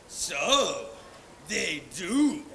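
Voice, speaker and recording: A teenage boy on stage playing a wicked, menacing wizard in a slow, theatrical readers' theatre performance. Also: sits high, big pitch swings, sharp tone, roomy sound, full character voice. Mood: thundering. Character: wicked, thundering male wizard. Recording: noisy, distant stage microphone.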